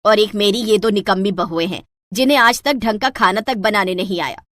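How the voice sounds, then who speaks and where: A woman's voice: mid-range voice, brisk, a bit bright, dry recording, studio quality, natural voice. A woman voicing an older, carping mother-in-law in a moral story, gushing and scornful by turns. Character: carping mother-in-law, forever praising the neighbour's daughter-in-law.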